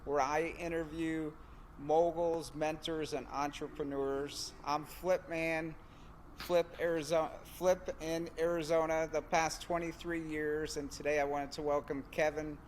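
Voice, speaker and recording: A man hosting a podcast interview, relaxed and unhurried with fillers. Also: a little high, a little hiss or hum. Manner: relaxed, with drawn-out phrases and fillers. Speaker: podcast host. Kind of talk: casual interview chat.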